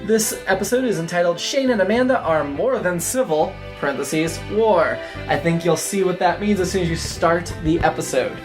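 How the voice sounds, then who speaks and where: High-voiced male podcast host over guitar music. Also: expressive, normal speed. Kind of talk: scripted podcast introduction with promotion.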